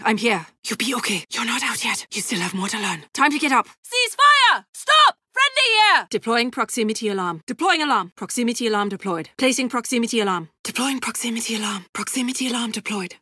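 Bright, terse female special forces operative. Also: on the high side, husky, no echo, clean studio sound, exaggerated voice. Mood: urgent, forceful, then shouting alarm. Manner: terse, clipped, controlled. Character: stealthy female special forces operative, cool, controlled, disciplined. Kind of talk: video game operator voice lines, short tactical callouts.

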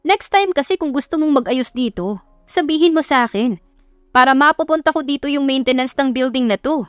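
A woman's voice: high-pitched, expressive, a little fast, dry recording, faint music behind, pitched above the performer's own voice. Woman playing blunt, protective young male boss. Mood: exasperated, worried. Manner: blunt, commanding, serious.

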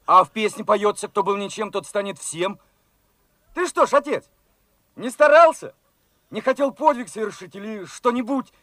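An actor playing an eager, naive twenty-year-old working lad in a radio drama, earnest, plain-spoken and full of questions. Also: male voice, high-pitched, lively intonation, moderate pace, a bit bright, no echo. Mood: disappointed, challenging. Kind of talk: Soviet radio drama acting.

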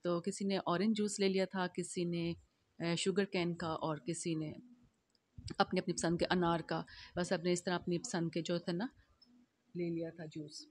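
A woman's voice: fairly low pitch, flat delivery, normal speed, a little mellow. A middle-aged woman from Pakistan narrating her shopping vlog as a voice-over, in a chatty, homely way with many fillers. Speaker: vlogger.

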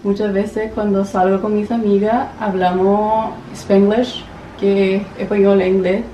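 A woman's voice: mid-range voice, flat delivery, measured pace, dark tone. A young woman of eighteen introduces herself in a careful, practised way from her bedroom desk. The room rings around her, and the camera sits a little way off, with a faint hiss underneath. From Brazil. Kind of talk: short self-introduction to camera. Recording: echoey room, mic a bit far.

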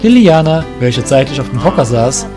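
A man's voice: medium pitch, very animated, moderate pace, over music. A man reading scripted narration for a fantasy audio drama.

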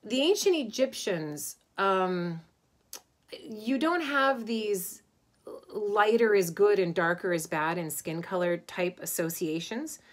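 A middle-aged woman, an Egyptologist, lecturing informally to a webcam from her home study, thoughtful and pausing with many ums and restarts. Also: mid-range voice, expressive. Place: home study with bookshelves, indoors.

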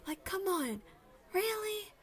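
A woman's voice: very high voice, sing-song, slow, a bit bright, exaggerated voice. A young woman voice acting a lovestruck, chatty student gushing dramatically to friends in an audio roleplay. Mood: indignant. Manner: gushing, dramatic, babbly, sighing. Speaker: voice actor. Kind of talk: audio roleplay, one-sided conversation with friends.

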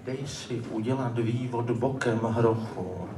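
Male slam poet, dramatic and colloquial, swinging delivery, heard from off in an echoing, noisy venue. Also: mid-range voice. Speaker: slam poetry performer. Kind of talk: live slam poetry performance. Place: live poetry slam event before an audience. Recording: roomy, noisy, distant live stage recording.

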